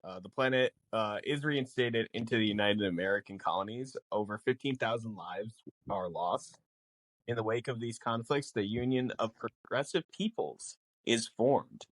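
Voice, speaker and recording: A man's voice: lowish voice, normal speed, no room sound, poor audio. A man guesting on a podcast, explaining film lore casually with theatrical, mock-exasperated banter.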